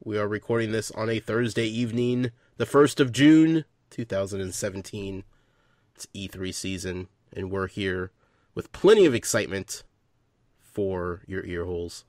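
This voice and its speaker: A man's voice: fairly low pitch, normal speed, a little mellow, no echo, studio quality. A man hosting a casual podcast chat, stuffed up from a head cold, rambling with lots of fillers. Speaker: podcast host.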